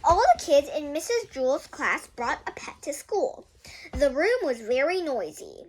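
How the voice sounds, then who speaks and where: High, animated young girl reading aloud. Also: moderate pace, low-quality recording. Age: child. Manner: explains and digresses often. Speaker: host reading a children's book aloud. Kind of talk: casual read-aloud with chatty asides to viewers.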